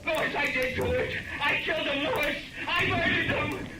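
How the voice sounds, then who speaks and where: A man's voice: very high-pitched, normal speed. On an old radio recording, a man playing a guilty, cowardly murderer, strained and hysterical, begging desperately. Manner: hysterical, wailing. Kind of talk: 1940s radio acting. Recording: very noisy, roomy, distant 1948 radio.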